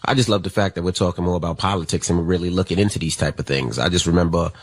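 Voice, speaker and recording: A man co-hosting a morning radio show, talking casually with slang in an animated way. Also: deep voice, rapid-fire, husky, faint music behind. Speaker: radio co-host.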